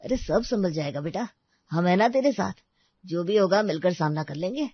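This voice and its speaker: A woman voicing a gentle, long-suffering old grandfather in a storybook tale, mild and reassuring. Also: on the low side, animated, moderate pace, slightly rough, no room sound, dull audio. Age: older.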